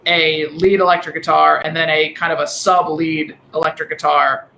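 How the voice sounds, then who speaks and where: A man with a high, thin voice and a Delaware County accent, a musician guest on a remote podcast call, rambling and restarting often. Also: level tone, creaky, pushed and bright, reverberant, slight background noise. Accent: Delaware County, Pennsylvania. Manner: rambling, halting with many restarts. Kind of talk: casual remote podcast chat. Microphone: dynamic vocal mic over a call.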